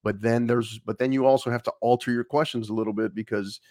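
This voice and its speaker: Energetic man hosting a podcast, dry sound. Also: mid-range voice, fast talker, dry recording. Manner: energetic, rambling with fillers. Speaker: podcast host. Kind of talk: casual interview chat.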